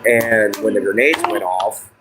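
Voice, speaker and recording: Man, booming voice, laid-back rambling livestream chatter, phone mic, faint hiss. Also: steady tone, unhurried. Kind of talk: casual live stream chat. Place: home room with kids and dogs. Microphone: phone propped on a table.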